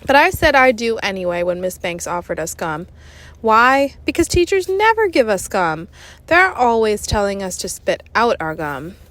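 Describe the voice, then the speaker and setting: Female children's story reader, mid-pitched, conversational, very animated delivery. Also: normal speed, no room sound. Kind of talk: expressive children's audiobook narration.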